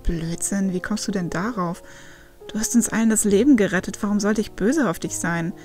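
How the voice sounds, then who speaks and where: A woman plays a caring young man in an audiobook. The friend sounds earnest, soothing and forgiving, at a medium pitch with faint music underneath. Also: moderate pace, a little mellow, natural voice. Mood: reassuring. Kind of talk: acted audiobook dialogue.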